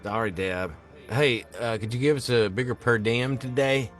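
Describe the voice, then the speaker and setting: A man's voice: mid-range voice, moderate pace, a bit bright, faint music behind, lightly acted. A man playing a cocky, teasing small-town guy in a film script table read.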